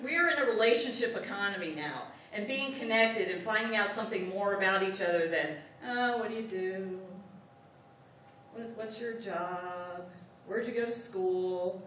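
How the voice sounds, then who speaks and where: A woman's voice: on the high side, pushed and bright, a little hiss or hum. A woman speaking on negotiation at a conference, leading the audience with call-and-response prompts. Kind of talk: conference keynote with audience exercise. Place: large conference hall. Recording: echoey hall, distant mic.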